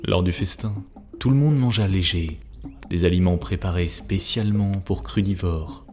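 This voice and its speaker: A man narrating a cartoon story from a script in a solemn, measured way. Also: sits low, darkish tone. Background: music.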